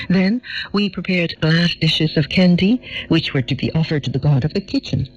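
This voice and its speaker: A man reading a story aloud as a narrator in a measured, formal way, heard on a shortwave radio recording. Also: on the high side, highs cut off. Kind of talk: scripted narration, audiobook reading.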